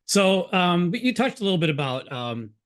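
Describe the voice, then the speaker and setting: A man's voice: sits high, very animated, moderate pace, fairly bright tone, smooth. Casting: male interview host from Hungary, easygoing, laughs often. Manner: friendly, laughs, asks follow-up questions. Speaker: podcast host. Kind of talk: casual interview conversation.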